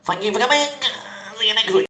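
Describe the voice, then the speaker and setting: A man playing a solemn, eccentric lecturer with a measured, theatrical, declamatory delivery, in a comic audio sketch. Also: high voice, sharp tone. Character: solemn, eccentric lecturer giving absurd announcements. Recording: echoey room.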